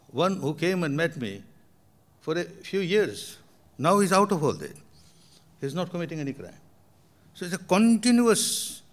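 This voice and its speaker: An older man from Burma, a meditation teacher answering audience questions in emphatic, repetitive phrasing. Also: mid-range voice.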